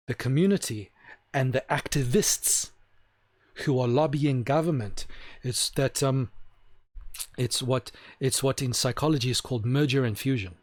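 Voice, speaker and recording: A man's voice: medium pitch, dry recording. A man giving an opinionated solo monologue to camera, emphatic and repeating his points for stress. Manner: slows down to stress key words. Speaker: video host.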